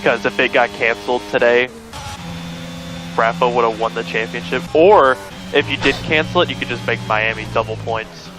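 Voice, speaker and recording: A man with a cold sits in as co-commentator, sniffling between remarks. He talks casually and bluntly at an ordinary pitch. Music runs clearly behind him. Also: steady tone, moderate pace, low-quality recording. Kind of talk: sim racing commentary.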